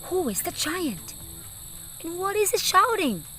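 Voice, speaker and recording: A woman's voice: very high voice, big pitch swings, a little slow, pushed and bright, soft background music, pitched above the performer's own voice, full character voice. A woman voicing a brave, earnest young shepherd boy in a children's story. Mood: curious, excited. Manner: earnest, bold.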